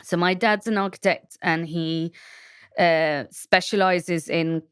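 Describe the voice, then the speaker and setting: A woman from Shropshire with a low, smooth and resonant voice, recorded dry and close. She answers interview questions in a thoughtful, meandering way, full of "um"s and restarts as she gathers her thoughts. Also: fairly even intonation, slow pace, no echo. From England, Shropshire. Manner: reflective, thoughtful, many fillers and restarts. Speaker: designer guest.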